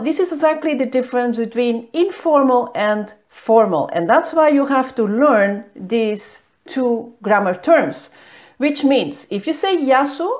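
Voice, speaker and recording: Middle-aged woman, school founder giving a beginner lesson, fairly high voice with animated pitch, patient and clear. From not Greece. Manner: patient, clear, stresses key words with lively pitch. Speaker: language school founder and teacher. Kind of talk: explanatory beginner language lesson.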